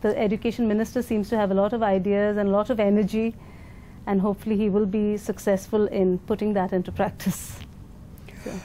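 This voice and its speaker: A woman's voice: medium pitch, little pitch movement, fairly quick, warm tone, a little hiss or hum, low-quality recording. An Indian woman leading an education foundation, answering interview questions thoughtfully with fillers and restarts.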